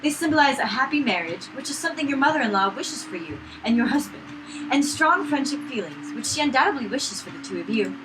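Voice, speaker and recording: A woman's voice: sits high, expressive, fast talker. A woman voicing a kindly, refined female flower shop owner, reading for a visual novel let's play. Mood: polite, refined. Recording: noisy room recording, no proper mic.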